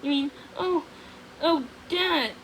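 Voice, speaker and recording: A woman's voice: high voice, slow pace, slight background noise, poor audio. A woman voicing a menacing, boastful male sorcerer, the villain of a fairy-tale storybook. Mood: awestruck, eager.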